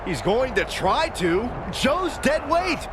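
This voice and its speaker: A man's voice: extremely high pitch, very animated, takes it slowly, heavily acted. A man playing an amazed, upbeat wrestling color commentator who exclaims his reactions. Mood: amazed, animated. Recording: very noisy arena background.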